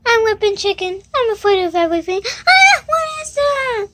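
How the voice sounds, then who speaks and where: A woman's voice: very high-pitched, very animated, moderate pace, noisy background, voice pushed up. A woman voicing a whiny, easily frightened chicken in a shrieking, panicky manner. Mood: panicked.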